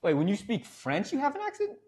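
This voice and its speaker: Lively Midwestern man chatting with his stream. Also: high-pitched, fairly quick, breathy edge. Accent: Midwestern American. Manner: rambling, laughs often, talks back to chat. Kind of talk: casual chat with live chat. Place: home streaming setup.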